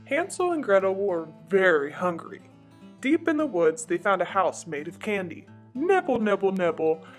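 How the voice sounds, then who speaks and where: Woman as a tired, patient papa chicken, music behind. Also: mid-range voice. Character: tired, patient father chicken reading at bedtime. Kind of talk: children's picture book read-aloud.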